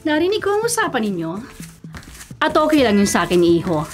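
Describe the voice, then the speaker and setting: An actress playing a composed, quietly calculating middle-aged mother who speaks with measured authority in a radio drama. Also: fairly high pitch, wildly swooping pitch. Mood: composed, probing. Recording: music bed under the voice.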